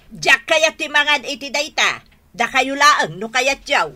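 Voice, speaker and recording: A woman's voice: high-pitched, expressive, measured pace, sharp tone, full character voice. A woman with a Northern Philippine accent playing a fussy, nagging mother of a grown son in a radio drama, scolding and motherly. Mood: fretful.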